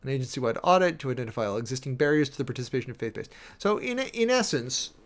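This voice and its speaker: A man reading a document aloud and commenting on it as a streamer, with wry, sardonic asides and delivery that shifts between halting emphasis and rapid reading. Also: mid-range voice, very animated. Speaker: streamer and preacher giving commentary.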